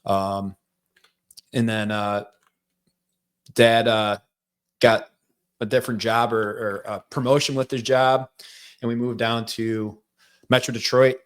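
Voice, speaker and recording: Relaxed Michigan-accented man telling his story. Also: mid-range voice, slow, long pauses, smooth. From central Michigan. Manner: relaxed, rambling, frequent fillers. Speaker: guest. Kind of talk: casual interview storytelling.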